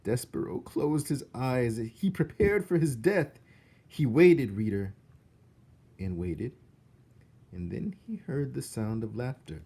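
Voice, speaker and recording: Expressive, unhurried male storybook narrator. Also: mid-range voice, breathy edge. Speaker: read-aloud narrator. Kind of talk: expressive children's book reading.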